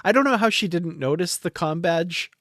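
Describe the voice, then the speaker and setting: A man's voice: high-pitched, animated, normal speed, fairly bright tone, dry recording, studio quality. A man co-hosting a podcast, talking through a review in a casual, conversational way. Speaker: podcast co-host.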